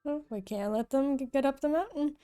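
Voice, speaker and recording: A woman's voice: high-pitched, brisk, dark tone, soft background music, natural voice. A woman voicing a plucky, casual adventurer in a video game, with a breezy way of talking. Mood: determined.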